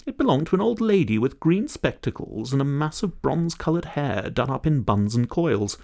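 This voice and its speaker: A man's voice: medium pitch, sing-song, moderate pace, creaky, warm tone, dry recording, clean studio sound. A middle-aged man, narrating a children's audiobook expressively. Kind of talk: expressive children's story reading.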